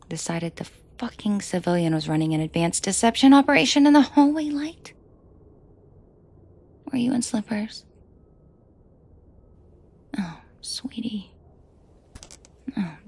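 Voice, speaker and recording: A woman playing a commanding, protective mafia boss in an intimate roleplay audio drama, shifting between cold authority and soft coaxing. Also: medium pitch, gravelly, husky. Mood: furious, scornful. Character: commanding female mafia boss, furious yet protective and tender.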